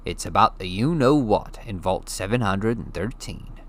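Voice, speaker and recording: A man voicing a big, bluff half-giant groundskeeper in a storybook reading, booming and hearty with a rustic way of talking. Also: animated, moderate pace, natural voice.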